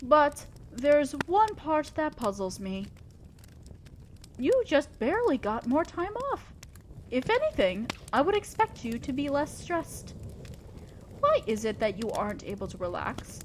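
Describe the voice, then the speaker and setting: A woman's voice: high-pitched, sing-song, a little hiss or hum. A woman playing an imperious, old-fashioned female demon lord in an audio roleplay, speaking formally and with condescending concern to the listener. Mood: thoughtful, concerned. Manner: commanding, formal, measured, condescending yet concerned. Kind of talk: scripted roleplay monologue addressed to the listener.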